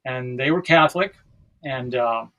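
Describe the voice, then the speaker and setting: A man's voice: medium pitch, measured pace, fairly bright tone, rough recording. An American man in his sixties from South Dakota, talking casually and reflectively as a podcast guest, often searching for his words. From South Dakota, United States.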